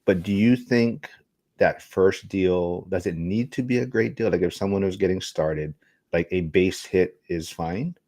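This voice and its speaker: Very deep-voiced co-host man. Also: unhurried, a little mellow. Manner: rambling, hesitant questioning. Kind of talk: casual podcast chat.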